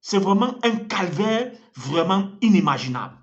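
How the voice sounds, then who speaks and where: A man's voice: high voice, sing-song, normal speed, highs cut off. A man preaching an online sermon as an evangelist, earnest and fervent, repeating phrases for emphasis, French not his first language. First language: African language.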